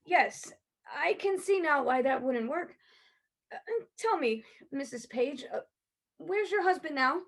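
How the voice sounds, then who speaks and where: A woman playing a courteous, skeptical private investigator in a play reading, questioning in a hesitant, measured way. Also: sits high, expressive, vocal fry, exaggerated voice. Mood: businesslike, probing.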